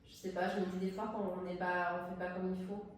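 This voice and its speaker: A woman's voice: fairly low pitch, little pitch movement, a little fast. A middle-aged woman from southern France speaking off the cuff in an interview, hesitating and searching for her words. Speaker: tourist office director, interviewee. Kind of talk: spontaneous interview talk. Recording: very echoey room, distant mic, faint noise.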